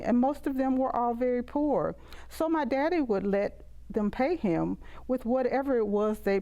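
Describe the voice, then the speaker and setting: Mellow middle-aged Southern US woman poet. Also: a little high, low-quality recording. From Mississippi, United States. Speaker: poet and author reading own poems. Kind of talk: poetry recital with spoken introductions.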